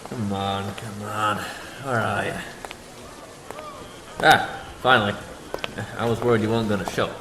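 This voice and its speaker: Man as a low-voiced, world-weary private eye. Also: steady tone, measured pace, background noise. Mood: probing. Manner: informal, folksy, hesitant. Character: modest, world-weary male private detective, plain-spoken. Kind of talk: noir radio drama acting.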